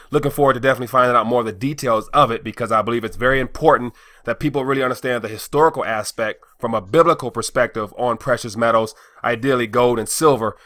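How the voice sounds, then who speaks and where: Fast bright male interview host. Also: mid-range voice, no room sound, studio quality. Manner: quick, upbeat, leading questions.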